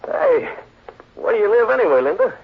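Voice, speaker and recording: An actor playing a thin, excitable little American club owner, eager and stammering, in 1940s radio crime drama style. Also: male voice, high voice, fairly quick, a little hiss or hum. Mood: eager, flirtatious. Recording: muffled old radio broadcast.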